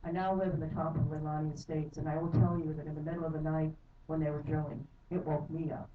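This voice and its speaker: Low-voiced woman testifying in an echoey chamber. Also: flat delivery, fast talker. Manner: earnest, heated, apologizes for anger. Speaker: public testifier. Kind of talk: public testimony at a council hearing. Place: county council chamber. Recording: echoey hall from far off, dull and noisy.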